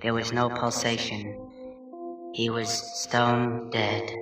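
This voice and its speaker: Slow, theatrical mad murderer. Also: male voice, medium pitch, flat delivery, a bit bright. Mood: sly calm. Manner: theatrical, slow deliberate delivery. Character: mad murderer confessing, manic, cunning, increasingly frantic. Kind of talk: dramatic horror story reading. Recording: roomy, distant, music behind.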